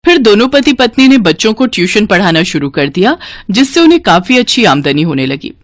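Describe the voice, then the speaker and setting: A woman's voice: mid-range voice, sing-song, brisk, dry recording, clean studio sound. A woman storyteller reading a scripted moral tale as narration.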